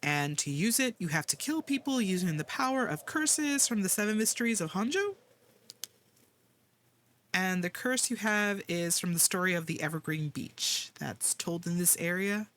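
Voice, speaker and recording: A streamer voicing an excitable, cheeky young sidekick with a teasing, incredulous manner. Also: normal speed, lightly acted. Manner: incredulous, teasing, swooping up high. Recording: loud game music behind.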